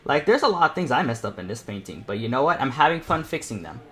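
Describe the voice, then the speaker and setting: Young guy doing a chill art stream tutorial, medium pitch, kinda rambly, thinking out loud. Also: big pitch swings, fast. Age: teens to young adult. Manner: rambling, thinking aloud while working. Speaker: livestream host and self-taught painter. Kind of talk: casual painting tutorial livestream.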